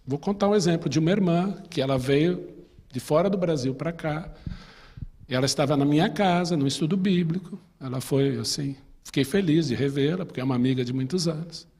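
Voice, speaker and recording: A Brazilian-accented pastor leads a Bible class in a church hall, his somewhat high voice husky and breathy. He talks in an easy, chatty way, quizzing the listeners. Long reverb trails each phrase. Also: fairly quick, a little mellow. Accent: Brazilian Portuguese. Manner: chatty, asks the class questions, tells personal stories. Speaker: pastor teaching a Sunday school class. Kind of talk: conversational Bible class teaching.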